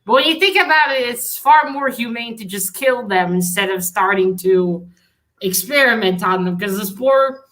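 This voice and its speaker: Bright, forward-voiced woman in casual chat. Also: fairly high pitch, animated, normal speed, low-quality recording. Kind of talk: casual book discussion.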